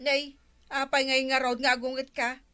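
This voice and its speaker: Lady acting as ilocano village neighbour, bickering and questioning, bright highish voice, comedy skit. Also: little pitch movement, moderate pace, smooth. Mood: exasperated. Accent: Filipino, Ilocano. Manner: questioning, argumentative.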